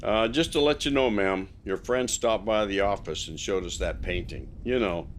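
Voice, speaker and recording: A man's voice: fairly low pitch, normal speed, close to an everyday voice. A polite, watchful small-town lawman, played by a male actor in an audio drama. He talks in a folksy, courteous way over faint background noise.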